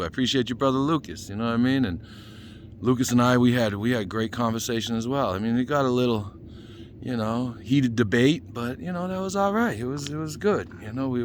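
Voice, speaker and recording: A man's voice: mid-range voice, a little hiss or hum. A man in his fifties from Boston with a Boston accent, rambling casually in a vlog and leaning on "you know" fillers, a little winded after a run. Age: 50s. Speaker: vlogger. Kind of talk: casual talking-head vlog.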